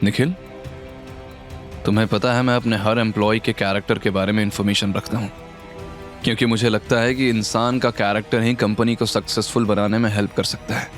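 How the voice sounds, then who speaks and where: A man playing a cold, controlling businessman in an audio drama, measured and mocking, slipping English phrases into his speech. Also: lowish voice, fairly even intonation, natural voice. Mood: menacing calm. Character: cold, sarcastic, controlling businessman with dangerous calm. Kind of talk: dramatised audio series reading. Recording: music bed under the voice.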